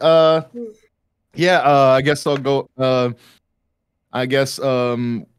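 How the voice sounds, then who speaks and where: A man hosting a casual panel, talking haltingly and often repeating his phrases. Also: mid-range voice, smooth. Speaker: panel host.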